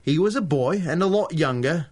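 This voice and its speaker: Blunt outsider, quietly threatening; radio play. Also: male voice, fairly high pitch, expressive, moderate pace. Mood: tense. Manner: blunt, menacing. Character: blunt male Australian visitor from another world. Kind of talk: radio drama acting.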